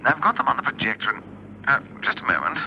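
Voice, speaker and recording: A man's voice: mid-range voice, very animated, fast. A man playing a polite, hesitant ministry official in a classic spy radio drama. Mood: hesitant, businesslike. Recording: dull, noisy old radio recording.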